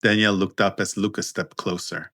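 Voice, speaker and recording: A man with a deep voice narrates scripted fiction. His delivery is measured and understated, and the recording is close and dry. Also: fairly even intonation, fairly bright tone, no room sound. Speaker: author and narrator.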